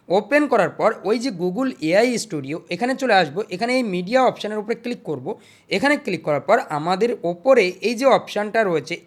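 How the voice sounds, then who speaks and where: A tutorial host narrating screen steps in an instructional way, repeating each step before moving to the next. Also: mid-range voice.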